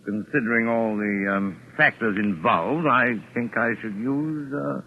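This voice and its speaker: An actor playing a polite, wry gentleman doctor with courteous, formal diction in a 1940s radio drama. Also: mid-range voice, a little slow, slight background noise, natural voice. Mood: flustered. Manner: courteous, formal diction, stammers when pressed. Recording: tinny band-limited old radio recording.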